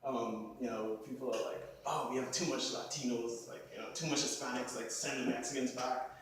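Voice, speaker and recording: A man's voice: medium pitch, steady tone, a little fast. Echoey, distant man giving an artist talk. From born in Haiti, grew up in the Bahamas. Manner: thinking aloud, peppers phrases with "like" as filler. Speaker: visual artist presenting his work. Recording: echoey room, distant mic, clear background noise.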